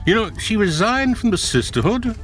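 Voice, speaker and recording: Genteel scientist, animated intonation. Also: male voice, sits high, moderate pace, faint music behind. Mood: wry, nostalgic. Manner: cultured, wry, formal phrasing. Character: genteel, proud male scientist-inventor, wry old friend. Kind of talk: cinematic radio drama acting.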